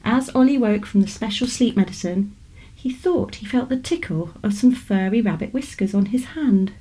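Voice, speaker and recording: A woman's voice: medium pitch, moderate pace, breathy edge, rough recording. British woman reading a children's story. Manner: expressive storytelling. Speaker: author reading aloud. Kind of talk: scripted children's story narration.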